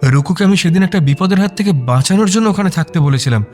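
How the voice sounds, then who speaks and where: A man's voice: sits high, fast, a little mellow, soft background music, close to an everyday voice. A man playing an earnest, bewildered young husband and dutiful son in a radio drama. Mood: earnest, pleading. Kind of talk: broadcast radio drama acting.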